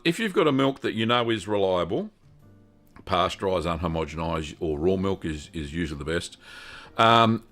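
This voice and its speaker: Older man, deep voice, rambling live-stream host. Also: fairly bright tone. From Australia. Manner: rambling, friendly, lots of ums. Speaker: host answering cheese-making questions.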